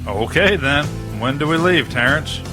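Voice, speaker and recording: A man's voice: a little high, expressive, normal speed, lightly acted. A man playing a protective, worried husband in an audio drama, speaking earnestly and with emotion. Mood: resigned. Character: protective, worried husband, reluctant to leave his son. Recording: music under the voice.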